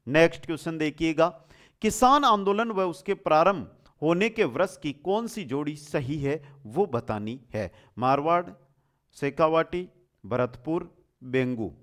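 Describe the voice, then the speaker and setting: A man runs a quiz-style exam lesson. His voice sits on the high side and moves up and down in a very lively way. He puts questions emphatically and repeats the key words so they stick. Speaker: exam coaching teacher.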